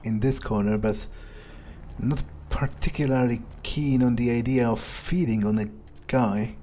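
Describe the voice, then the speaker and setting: A man roleplaying a cold, entitled, predatory vampire, musing aloud and swearing in husky in-character game commentary. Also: medium pitch, steady tone, warm tone, a little hiss or hum, natural voice. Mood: brooding. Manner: muttering to himself, swearing, deliberate musing. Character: cold, cruel, foul-mouthed male vampire, entitled and predatory. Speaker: gamer playing in character.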